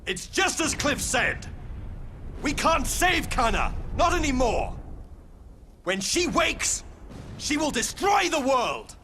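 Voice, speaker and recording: A man's voice: very high-pitched, huge pitch swings, slow, pauses often, pushed and bright, a little hiss or hum, heavily acted. An actor playing a dignified, fiercely protective warlock father in a game, formal and dramatic. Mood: anguished resolve. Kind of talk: video game cutscene acting. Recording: music behind the voice.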